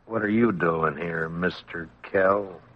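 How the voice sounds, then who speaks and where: An American man playing a stern, world-weary frontier marshal in a 1950s radio western, terse and deadpan. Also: deep voice, slow pace. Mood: stern, clipped. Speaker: lead actor and narrator of a western radio drama. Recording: muffled vintage radio recording with music.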